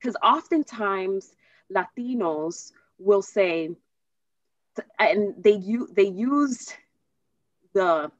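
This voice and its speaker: An American woman hosting a casual interview, speaking haltingly, searching for words and repeating herself. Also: mid-range voice, expressive, no echo, muffled recording. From United States. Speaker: host interviewing a guest.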